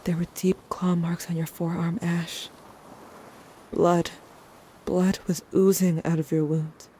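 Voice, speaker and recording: A man's voice: a little high, little pitch movement, takes it slowly, mellow, a little hiss or hum. A man playing a protective, grieving young friend, narrating events earnestly and intimately in an audio drama. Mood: shaken, tender.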